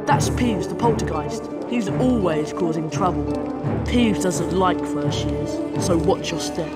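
A woman's voice: fairly low pitch, a little slow, loud music behind the voice, close to an everyday voice. A woman voicing a cheeky, helpful British schoolboy wizard in a video game, eager and instructive. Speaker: tutorial guide. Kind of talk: video game character acting.

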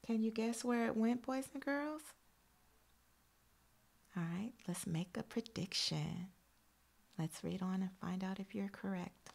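A woman's voice: mid-range voice, unhurried, gravelly, pauses often, a little mellow. A woman teacher reading a picture book aloud expressively to young children, adding encouraging asides addressed straight to them.

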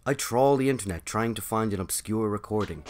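A man's voice: fairly low pitch, fairly quick, a little mellow. A man hosting and narrating a story podcast, reading from a script.

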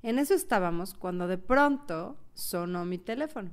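A woman's voice: medium pitch, animated, normal speed, lightly acted. A woman playing a lovestruck, anxious young woman who tells of her heartbreak in a radio novela. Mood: heartbroken, earnest. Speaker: narrator and actress. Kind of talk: dramatic radio novela narration and acting.

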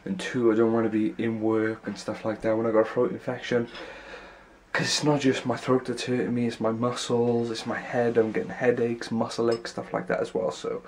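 Young male vlogger, stuffy and congested with a sore throat, mid-range pitch, rambling casual delivery, handheld camera indoors with faint room hum. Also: level tone, normal speed. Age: young adult. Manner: rambling, talks in loose run-on sentences. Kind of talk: casual vlog chat. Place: small rooms in a house, indoors. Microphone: handheld camera at arm's length.

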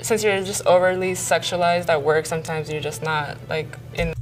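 A woman speaking in a matter-of-fact way in a bright studio. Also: lowish voice, fairly even intonation, normal speed, a little hiss or hum, poor audio. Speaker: interview show participant. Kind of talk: casual conversation.

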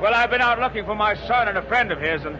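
A man's voice: high-pitched, expressive, quick pace, dull audio. An actor playing a flustered, earnest middle-aged father in 1940s radio comedy, on a very noisy old recording. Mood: flustered, insistent.

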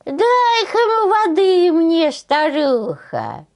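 Woman doing a high, demanding old peasant. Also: huge pitch swings, very slow, a little mellow, heavily acted. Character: crafty, bossy old peasant man.

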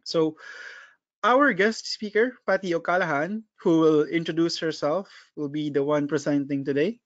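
A man's voice: on the high side, moderate pace, dry recording. Upbeat man hosting a webinar, dull dry sound. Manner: upbeat, many fillers. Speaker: product marketing manager hosting a webinar. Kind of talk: webinar introduction.

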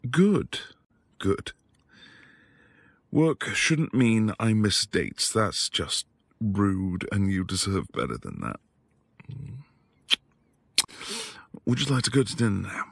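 A man acting a quiet, tender professor husband in an intimate boyfriend ASMR roleplay, soft-spoken and hesitant. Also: lowish voice, big pitch swings, slow pace, gravelly, husky, no echo, heavily acted. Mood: tender, reassuring. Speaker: audio roleplay voice actor.

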